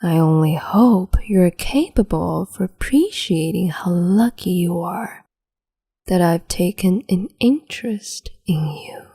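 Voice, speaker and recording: Mean empress for asmr, breathy low whisper, super slow and mellow. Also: female voice, heavily acted. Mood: cruel teasing. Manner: whispered, slow, teasing and domineering. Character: cruel capricious female empress, adult. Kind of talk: ASMR audio roleplay.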